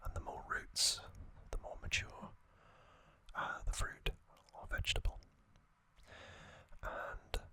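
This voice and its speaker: An ASMR host whispering slowly, rambling through trivia with fillers and musings. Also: pushed and bright. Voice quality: unvoiced whisper.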